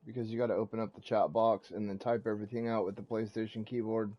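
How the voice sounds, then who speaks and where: A man's voice: fairly low pitch, steady tone, quick pace, dull audio. A man giving casual gameplay commentary as a streamer, talking through what he is doing. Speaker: game streamer.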